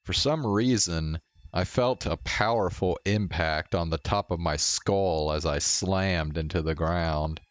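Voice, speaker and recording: Low-voiced, unhurried man. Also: muffled recording. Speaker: streamer. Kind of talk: reading visual novel text aloud, with casual asides.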